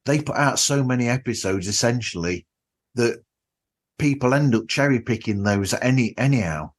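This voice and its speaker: A man's voice: medium pitch, animated, moderate pace. A man hosting a podcast, chatting casually with his guest in an interview.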